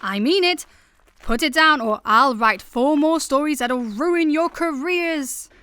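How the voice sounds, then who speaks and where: A woman playing an indignant lady journalist in a comedic sci-fi radio play, commanding and loud. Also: sits high, slow pace, no echo, exaggerated voice. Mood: indignant, threatening. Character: shrill, indignant lady journalist chasing thieves.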